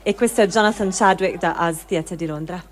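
A woman presenting a theatre project in an earnest, deliberate way, as if introducing a reading. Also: on the low side, slight background noise. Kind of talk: spoken introduction to a live reading.

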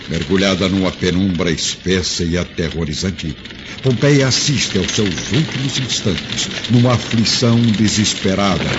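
A man's voice: low voice, heavy background noise. A man giving dramatic, emphatic narration of a radio drama. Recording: dull lo-fi sound with music. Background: music.

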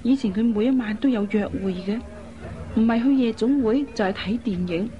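An actress playing a worried, caring young woman in a radio drama, with an earnest, expressive delivery. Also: on the high side, normal speed, faint music behind, close to an everyday voice. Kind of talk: Cantonese radio drama acting. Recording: dull lo-fi recording, faint noise.

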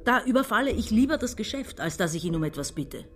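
A woman's voice: medium pitch, lively intonation, fast, fairly bright tone, soft background music, lightly acted. An actress playing a resentful, defiant daughter in her forties giving a statement in a radio crime play. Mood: bitter, defiant. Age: 40s. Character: resentful, defiant daughter testifying about robbing her father.

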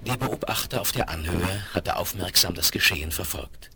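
A man's voice: deep voice, normal speed. A man narrating a Western audio drama in a dramatic, scripted storytelling style. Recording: very noisy 1970s vinyl rip.

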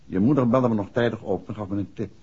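An actor playing a shrewd, courteous gentleman detective with a Netherlands Dutch accent in a 1960s radio drama, polite but probing. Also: fairly low pitch, quick pace, husky. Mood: probing, direct. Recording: muffled old radio recording.

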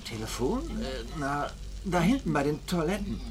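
Jittery, excitable would-be screenwriter. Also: male voice, a little high, normal speed. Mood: nervous, evasive. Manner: hesitant, twitchy, boastful when excited. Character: jittery male diner regular, taunting would-be screenwriter. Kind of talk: German radio drama acting.

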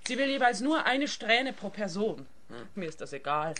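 Blunt hairdresser, bright animated voice. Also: female voice, mid-range voice, normal speed, slight background noise. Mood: dry, matter-of-fact. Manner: dry, matter-of-fact. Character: blunt, matter-of-fact female hairdresser. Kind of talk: radio play acting.

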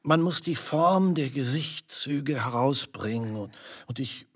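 An actor playing an earnest, self-important photographer in a radio drama, hesitant and pedantic. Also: male voice, medium pitch, lively intonation, a little slow, fairly bright tone, breathy edge, full character voice. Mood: pondering. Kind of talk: German radio drama acting.

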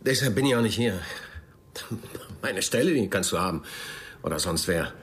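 A man's voice: low-pitched, lively intonation, normal speed, fairly bright tone. A man playing a defensive, self-justifying accused press spokesman in a radio crime drama, speaking hesitantly. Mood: reproachful. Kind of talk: naturalistic German radio drama acting.

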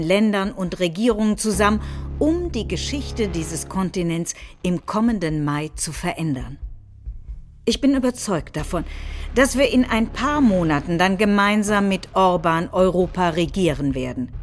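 A woman's voice: medium pitch, expressive, moderate pace, soft background music, close to an everyday voice. A woman acting in a radio play, reciting a politician's proclamations in a solemn, declarative manner. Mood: confident, proclaiming. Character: confident nationalist politician proclaiming a new Europe. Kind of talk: staged recitation of political speeches.

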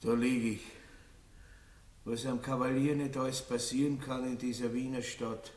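An actor playing a boorish, self-satisfied, lecherous aging baron in a radio play, with a Viennese accent and pompous archaic diction full of grunts and interjections. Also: male voice, mid-range voice, little pitch movement, moderate pace, a little mellow, lightly acted. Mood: self-pitying. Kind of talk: classic German-language radio play acting. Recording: roomy, echoing vintage studio radio recording.

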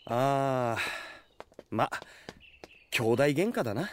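An actor playing a casual, confident, teasing husband in a Japanese audio drama. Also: male voice, medium pitch, animated, drawn-out words, a little hiss or hum. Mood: easygoing, wry.

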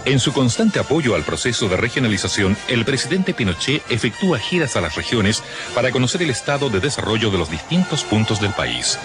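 Male propaganda narrator, formal scripted read, fairly deep, music prominent behind.

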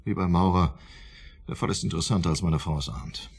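An actor playing a shrewd, self-important psychiatry professor, formal and probing, with a husky voice in a radio drama. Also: male voice, sits low, a little fast. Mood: imperious.

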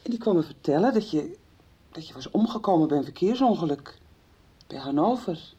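An actress playing a hesitant young woman in a 1990s radio drama, halting and repeating words. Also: on the low side, animated, dark tone, slightly rough, highs cut off.

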